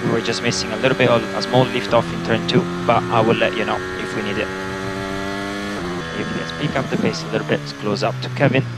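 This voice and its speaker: A man's voice: mid-range voice, flat delivery, quick pace. Male race engineer, terse and businesslike instructions, heavily noisy motorsport radio. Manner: clipped, businesslike. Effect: team radio. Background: car engine noise.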